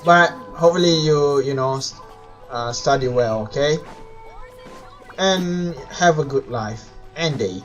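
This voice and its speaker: A man's voice: mid-range voice, measured pace, fairly bright tone. A man who speaks English as a second language, chatting playfully and informally as a gaming live streamer. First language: not English. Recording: muffled mic, game music behind.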